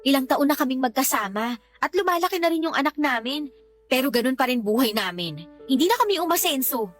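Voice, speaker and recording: A woman's voice: sits high, expressive, a little fast. A Filipino woman acting in a radio drama as a fed-up young mother, complaining in an exasperated way. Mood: bitter, frustrated. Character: fed-up young mother venting about her partner. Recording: background music under the voice.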